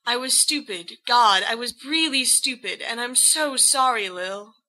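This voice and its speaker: A woman's voice: fairly high pitch, expressive, a little slow, pushed and bright, poor audio. A woman voicing an apologetic young husband in an audiobook, nervous and hesitant, prone to rambling.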